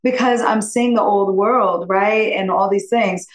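A woman's voice: mid-range voice, steady tone, measured pace, reverberant. A woman in her fifties from upstate New York, a podcast guest chatting in a rambling, effusive way and laughing often. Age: 50s. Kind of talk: casual interview chat.

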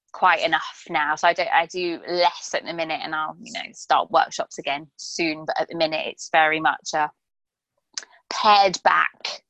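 A woman's voice: fairly low pitch, fairly bright tone, no room sound, low-quality recording. Woman hosting a chatty, close-miked interview. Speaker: podcast host interviewing a guest. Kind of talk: casual interview chat.

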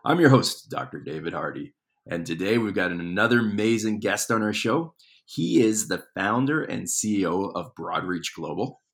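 A man's voice: low-pitched, a bit bright. A man hosting an interview podcast, upbeat and eager as he introduces and questions his guest. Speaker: podcast host.

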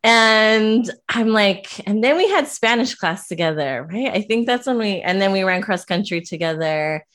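A woman hosting a casual talk, chatty and telling quick stories with frequent laughter. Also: medium pitch, animated, a bit bright. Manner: chatty, laughs often, storytelling. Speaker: host, professor. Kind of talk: casual conversation.

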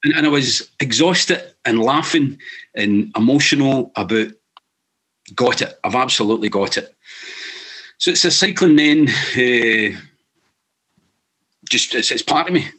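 A middle-aged man with a Scottish accent, telling his story as a guest in an earnest, halting way with many restarts. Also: medium pitch, animated, a bit bright, slightly rough. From Scotland. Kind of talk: casual storytelling.

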